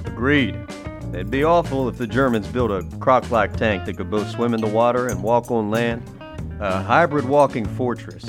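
This is a man portraying a smooth, controlling businessman in a period radio mystery. He speaks formally and with measured care, as if probing everyone, at a middling pitch. Music plays clearly behind him. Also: natural voice. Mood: composed. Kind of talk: 1930s radio drama acting. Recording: prominent music under the voice.

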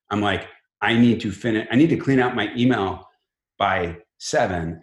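A middle-aged man with a very low voice speaks in a casual interview style. He is informal and halting, often restarting his phrases. The recording is roomy, with clear echo around the voice. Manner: informal, halting, frequent self-rephrasing. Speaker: company chief executive, podcast guest.

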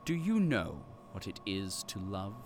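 A man's voice: low-pitched, sing-song, a little slow, a little hiss or hum, exaggerated voice. A man playing a pompous captive archivist and company loyalist in an audio drama, with formal, grandiose diction. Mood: solemn, fervent.

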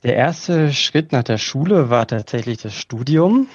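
A man from Berlin, guest on a podcast, explaining his career in a measured way with filler sounds. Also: mid-range voice, animated, a little mellow, slightly rough, dry recording. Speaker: guest, organizational developer. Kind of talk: casual interview. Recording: dull lo-fi dry sound.

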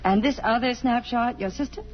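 A woman's voice: a little high, brisk, close to an everyday voice. An actress playing a poised, affectionate lady with a dryly teasing manner in a 1950s radio romance. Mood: kindly, teasing. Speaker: radio actor. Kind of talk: 1950s radio drama acting. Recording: muffled, noisy vintage radio broadcast.

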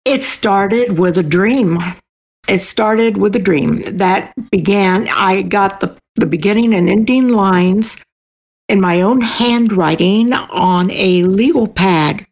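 An older woman speaking as an interview guest, casual, thoughtful and a little halting as she explains herself. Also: mid-range voice, poor audio.

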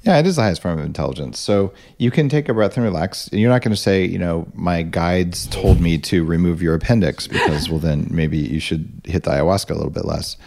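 A man with a very low, gravelly voice and a dark, mellow tone hosts a podcast interview. He talks in a relaxed, chatty way and jokes easily with his guest. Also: a little fast, hardly any pauses. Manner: laid-back, chatty, joking. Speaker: podcast host. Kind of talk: casual interview chat.

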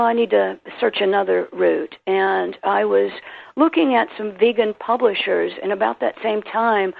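A woman with a South Carolina and Tennessee accent, an author guest telling her story animatedly in an interview. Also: medium pitch. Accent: Southern American, South Carolina and Tennessee. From American South. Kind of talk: interview on a phone line. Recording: tinny phone line with gravelly fry.

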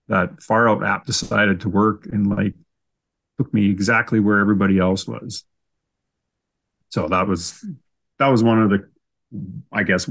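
A Canadian man with a fairly low voice chats as a podcast guest. He tells his stories casually and in an easygoing way, happy to poke fun at himself. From Canada, southern Saskatchewan. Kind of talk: casual storytelling in an interview.

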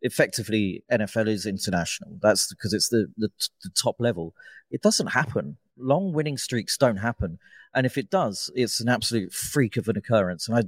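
Emphatic low-voiced male pundit. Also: lively intonation, a little fast, dry recording, studio quality. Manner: emphatic, with repeated words. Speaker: podcast co-host. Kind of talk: casual chat.

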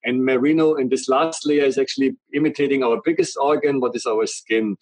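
A man's voice: medium pitch, fairly even intonation, brisk, a little mellow, dry recording, rough recording. Man with a strong German accent. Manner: earnest, explains in long run-on sentences. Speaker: guest, company representative. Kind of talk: explanatory interview talk.

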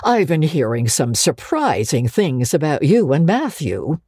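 A woman's voice: deep voice, sing-song, measured pace, dark tone, breathy edge, no echo, clean studio sound, exaggerated voice. A woman narrator voicing a nosy, disapproving older village busybody in a storybook, gossipy and gloomily judging. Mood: prying.

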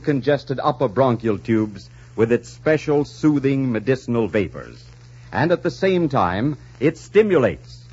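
A man announcing and reading adverts in an emphatic, deliberate 1940s radio style. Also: mid-range voice, darkish tone, slightly rough. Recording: dull 1940s radio recording.

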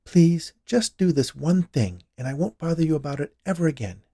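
A man's voice: on the high side, very animated, fairly quick, dark tone, slightly rough, no echo, studio quality, pitched above the performer's own voice. A man voicing an earnest, credulous, matter-of-fact wife who tends to scold, in a storybook reading. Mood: scolding, pleading.

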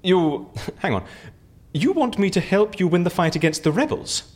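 An actor playing an eccentric, chatty British gentleman fixer in an audio drama, effusively polite and easily flustered. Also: sits high, sing-song, normal speed. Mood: curious.

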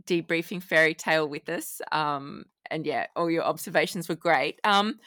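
A woman's voice: low voice, normal speed, a bit bright, dry recording, studio quality. A woman co-hosting a casual fan podcast, chatting with an enthusiastic manner. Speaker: podcast co-host.

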